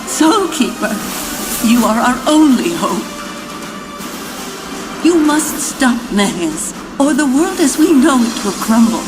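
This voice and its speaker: An earnest fantasy game seer. Her fairly high voice moves expressively with solemn, dramatic foreboding, and loud music swells behind her. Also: very animated, slow pace, long pauses, exaggerated voice. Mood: urgent plea. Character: earnest, foreboding female seer pleading for help. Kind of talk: fantasy game cutscene acting.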